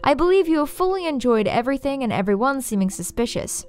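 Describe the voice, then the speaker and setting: Female video essay host. Slightly high voice, wry and playful, light music underneath. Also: big pitch swings, fast talker, darkish tone, clean tone. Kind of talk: scripted video essay narration. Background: faint background music.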